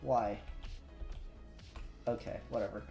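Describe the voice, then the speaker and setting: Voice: medium pitch, fairly even intonation, slow, gravelly, mellow. A livestreamer chatting casually and muttering to themselves while working, with the odd swear word. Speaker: livestreamer doing 3D modelling. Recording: roomy echo with music behind.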